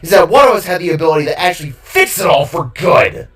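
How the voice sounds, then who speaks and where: A man voicing a defeated villain king in an audio drama, bitter and gleefully unhinged, with a groggy, drawn-out, rambling delivery. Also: fairly high pitch, expressive, fairly bright tone, far from the mic, pitched above the performer's own voice. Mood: hissing. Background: music.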